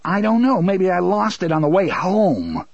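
A man's voice: mid-range voice, very animated, moderate pace, slightly rough, dry recording, highs cut off. A man voicing an angry, defiant teenage boy who talks back sharply.

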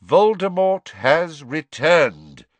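A man voicing a calm, commanding old wizard headmaster who speaks coolly and with measured authority. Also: medium pitch, no echo, pitched above the performer's own voice. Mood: grave. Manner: cool, measured.

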